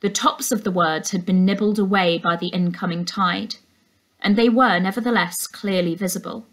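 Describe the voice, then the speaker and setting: A young woman reading a children's novel aloud as a teacher, in an expressive storytelling style. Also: medium pitch, normal speed. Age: young adult. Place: furnished indoor room.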